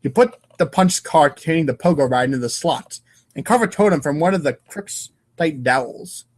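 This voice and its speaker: A man's voice: a little high, normal speed. A man narrating an informal comic read-aloud show in a playful, theatrical manner. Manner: playful, theatrical, voices sound effects.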